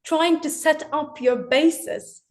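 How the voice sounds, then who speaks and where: A woman's voice: sits high, slow. A young woman from Turkey, answering interview questions in English in a hesitant way, often repeating her words. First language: Turkish. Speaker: interview guest, English learner.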